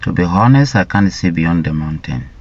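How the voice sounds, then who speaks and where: A middle-aged man from Rwanda telling his life story in a deliberate, halting way, often repeating words. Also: low voice, lively intonation, slightly rough. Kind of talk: slow personal storytelling. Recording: dull lo-fi recording.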